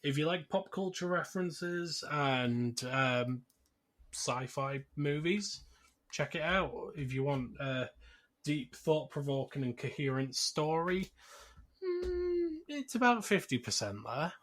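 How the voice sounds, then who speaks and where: A man hosting a casual review podcast in relaxed, everyday conversation. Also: on the high side, slow. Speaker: podcast host. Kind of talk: casual chat, review show.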